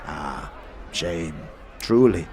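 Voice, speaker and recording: A man's voice: low-pitched, animated, extremely slow, darkish tone, slight background noise, exaggerated voice. An actor playing a theatrical, slick slave auctioneer in a fantasy audio drama, mock-courteous and drawing out his words. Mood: mock-sympathetic. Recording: faint music behind the voice. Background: music.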